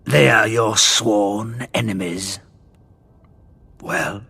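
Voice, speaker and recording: Rasping, gravelly alien warlord. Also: male voice, on the low side, steady tone, very slow, pushed and bright, full character voice. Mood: scornful. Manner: pompous, declamatory, threatening. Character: menacing, fanatical male alien warlord, servant of conquerors. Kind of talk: audio drama acting.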